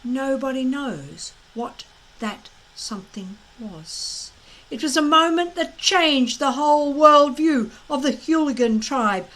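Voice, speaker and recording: A woman reading a children's story aloud as expressive narration, with dramatic emphasis and deliberate phrasing. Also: high-pitched, low-quality recording.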